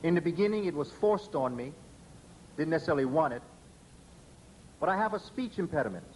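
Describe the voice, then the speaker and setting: A man delivering a public lecture, emphatic and deliberate, slowing down to spell out letters one by one. His voice sits a little high for a man. A faint hiss of noise runs under the recording. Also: animated, darkish tone, rough recording.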